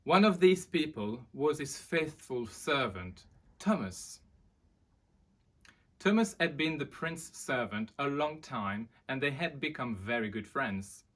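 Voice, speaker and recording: Brief: male children's storyteller, unhurried delivery, lively swings in pitch. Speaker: storyteller presenting a children's book. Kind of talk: expressive storybook reading for children.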